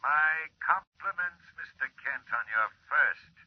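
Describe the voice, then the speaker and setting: Slow, eerie masked villain. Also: male voice, a little high, lively intonation, slight background noise. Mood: menacing. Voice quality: husky, eerie. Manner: slow, ominous, mock-courteous threats. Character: sinister masked male villain, menacing criminal mastermind. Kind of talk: 1940s radio acting. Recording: muffled old radio recording.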